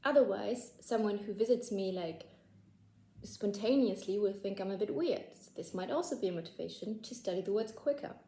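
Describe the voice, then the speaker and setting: Young female host, mellow voice, chatty and self-deprecating, echoey room. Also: mid-range voice, fairly quick, slight background noise. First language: German. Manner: chatty, laughs at herself, many "like" fillers. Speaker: language-learning video host. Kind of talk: casual talking-head tips video.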